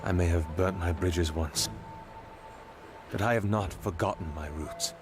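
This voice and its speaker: An actor playing a weary, guilt-laden but resolute and loyal man in a fantasy game, speaking in a measured, earnest way. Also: deep voice. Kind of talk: dramatic fantasy game voice acting. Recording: music behind the voice.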